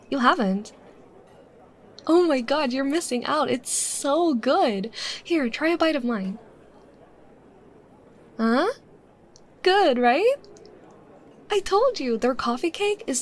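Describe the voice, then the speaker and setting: A woman playing a bubbly, teasing young woman chatting casually with her secret crush in a roleplay, expressive and playful. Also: high voice, takes it slowly, long pauses, exaggerated voice. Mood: cheerful, friendly. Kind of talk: roleplay ASMR, acted casual chat.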